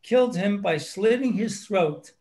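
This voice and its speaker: A man reading his prepared book review aloud, in a measured, earnest way with small stumbles. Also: high voice, lively intonation, a little mellow.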